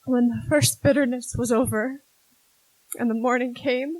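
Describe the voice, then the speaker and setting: A woman's voice: fairly high pitch, steady tone, normal speed, a little mellow, no echo, natural voice, rough recording. An actress playing a strong-willed, devoted sister who narrates her memories in a 1940s-style radio drama, with an emotional, faltering delivery. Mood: grieving, subdued.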